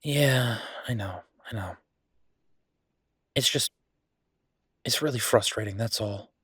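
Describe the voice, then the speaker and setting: Husky, frustrated but fond boyfriend played by a man. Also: fairly low pitch, slow, fairly bright tone, dry recording, studio quality, heavily acted. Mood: exasperated. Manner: conversational, hesitant, exasperated but fond. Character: frustrated, affectionate restaurateur boyfriend, talking to his partner. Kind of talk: intimate roleplay audio drama, one-sided conversation.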